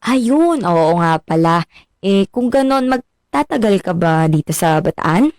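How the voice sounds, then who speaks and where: An actress playing a friendly, modest young marine biologist in a radio drama, with a Filipino accent and a conversational delivery. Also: female voice, medium pitch, animated, a little slow, darkish tone, dry recording, studio quality, natural voice.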